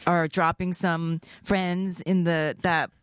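A woman's voice: fairly low pitch, level tone, slow pace, smooth, no echo, clean studio sound. A woman co-hosting a podcast, complaining and indignant in a casual chat. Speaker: podcast co-host.

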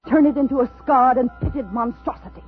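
An actress playing an unstable woman telling a story in an intense, halting, dramatic 1950s radio style. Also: high-pitched, narrow, tinny audio, close to an everyday voice. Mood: fevered, vengeful. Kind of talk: 1950s radio suspense acting. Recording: thin vintage radio recording with music.

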